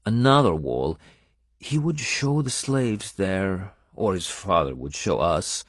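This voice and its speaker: A male narrator voicing a bitter, guarded foreign woman, a former slave, in halting, sparse phrases. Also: low-pitched, no echo, studio quality, heavily acted.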